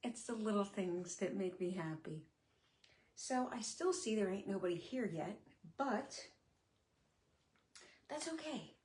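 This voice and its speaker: A middle-aged woman talking candidly on a live stream, her speech sometimes a little slurred, with many pauses and fillers. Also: medium pitch, expressive, darkish tone. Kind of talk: casual live-stream talk. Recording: echoey room.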